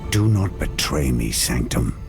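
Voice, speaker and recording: An actor playing a wary, brooding warrior hero in a video game cutscene, with a terse, guarded, grave delivery. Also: male voice, very deep voice, very animated, measured pace, vocal fry, over loud music, full character voice.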